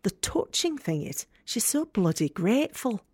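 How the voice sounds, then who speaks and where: A woman's voice: mid-range voice, very animated, a little slow, a little mellow, husky, dry recording, clean studio sound. An actress playing a tough, guarded working woman from Leeds with a Yorkshire accent, blunt, wry and confiding in an audio drama monologue. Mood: touched. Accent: Northern English, Yorkshire.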